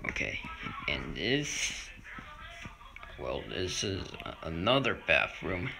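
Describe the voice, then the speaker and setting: A man's voice: low voice, sing-song, takes it slowly, gravelly, music in the background. A man giving a casual, improvised house tour, speaking hesitantly and unsurely as he films indoors on a handheld camera. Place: indoors, moving through rooms of a house. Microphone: handheld phone or camera mic. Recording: noisy handheld home video, clicks.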